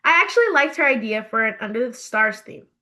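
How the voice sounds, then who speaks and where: A woman reading her own book aloud as an expressive, animated storyteller, with emphatic phrasing. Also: on the high side, fast talker. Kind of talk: expressive scripted storybook narration.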